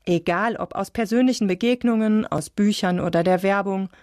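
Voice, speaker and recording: A woman reading a scripted science narration for radio in a measured, clear way. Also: mid-range voice, a little mellow, no echo, studio quality.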